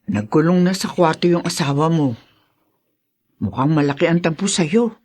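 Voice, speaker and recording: A woman playing a helpful, concerned older mother in a radio drama, with expressive delivery. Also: sits low, moderate pace.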